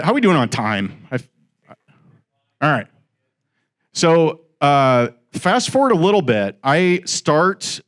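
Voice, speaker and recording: A man in his forties giving an informal talk to a live audience in a room, chatty and joking, teasing listeners in asides. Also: medium pitch. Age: 40s. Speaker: guest speaker at an investor meetup.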